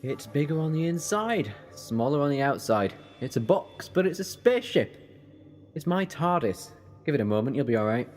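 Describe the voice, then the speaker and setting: A man playing an eccentric, quick and cheeky alien time traveller in a fan sci-fi audio drama, with a chatty, flippant manner. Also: on the high side, big pitch swings, a little mellow, faint music behind.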